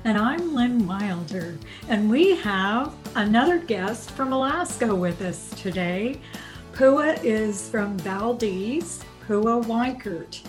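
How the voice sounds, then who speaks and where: A woman co-hosting a podcast speaks in a fairly high voice. She talks very slowly and gently. Faint music plays beneath her. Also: expressive. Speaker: podcast co-host. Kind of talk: casual interview.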